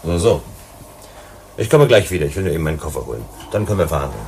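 An actor in a German sci-fi radio play, playing a polite, calm mediator with a measured, questioning manner. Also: male voice, very deep voice, creaky, slight background noise, heavily acted. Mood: casual. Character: calm, polite, probing male mediator from Earth.

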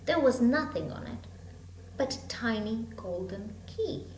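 Woman storyteller, high and very lively, dramatic phrasing for children's fiction; homemade echoey recording. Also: measured pace. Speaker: storybook reader. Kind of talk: expressive children's story reading. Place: room at home with bookshelves. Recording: echoey room, hum and background noise.

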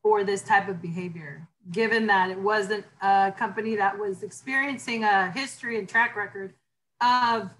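A woman teaching an online class in a low, powerful voice. She gets emphatic and animated as she talks through theory. A faint hiss of noise sits under her. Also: moderate pace, poor audio. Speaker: instructor.

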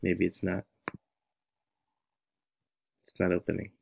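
A man's voice: deep voice, slow pace, vocal fry, husky. A man in his thirties with an American accent, giving a casual, playful tutorial as he thinks aloud. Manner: animated, playful, talks to himself. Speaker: music teacher and tutorial host.

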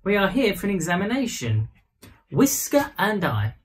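A man's voice: high-pitched, wildly swooping pitch, normal speed, pitched above the performer's own voice. A man voicing an earnest little girl, anxious yet polite, in a storybook reading. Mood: curious.

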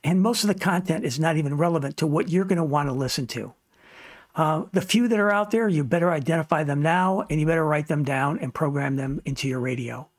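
A man's voice: a little high, brisk. A man narrating an informal instructional slide presentation in a plain-spoken, explanatory way, giving emphatic advice. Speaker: presenter of a ham radio tutorial channel.

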